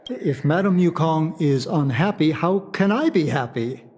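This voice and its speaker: An actor playing a fussy, devoted subordinate officer in a video game dub, speaking in a theatrical, officious way. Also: male voice, on the high side, lively intonation, unhurried, a little mellow. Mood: flustered.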